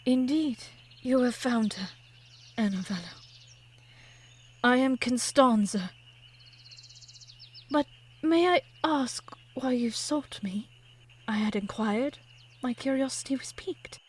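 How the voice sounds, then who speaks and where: A woman's voice: on the high side, slow, pauses often, a little mellow, slight background noise. A woman playing an older, devoted, grieving widow of a composer, telling her story formally and wistfully in a period audio drama. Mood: composed, reflective.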